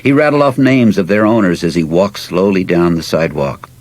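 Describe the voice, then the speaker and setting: A man narrating a story in a measured, reflective, dramatic style with a husky voice. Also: low-pitched, a little mellow, no room sound. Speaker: audiobook narrator.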